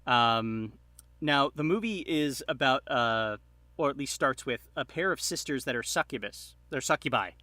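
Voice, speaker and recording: Bright-voiced man telling a story casually. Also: mid-range voice, expressive, no room sound. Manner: halting, rambling delivery full of hedges. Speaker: podcast guest, storyteller.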